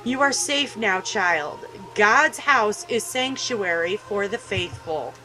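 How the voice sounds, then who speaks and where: A woman voicing a solemn, fatherly older priest in a visual novel, measured and gently reassuring. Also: mid-range voice, animated, music in the background, poor audio. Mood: gentle concern.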